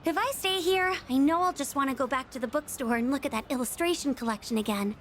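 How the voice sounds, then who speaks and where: A woman's voice: sits high, fast, a bit bright. A young woman voicing a wistful, self-doubting teenage schoolgirl in a video game, confiding and reflective. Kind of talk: video game character dialogue.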